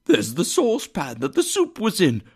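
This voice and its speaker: A man's voice: medium pitch, wildly swooping pitch, a little slow, heavily acted. A man voicing an overjoyed, reformed old miser in a storybook reading, exclamatory and full of glee. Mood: amazed delight. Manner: exclamatory, gleeful, effusive.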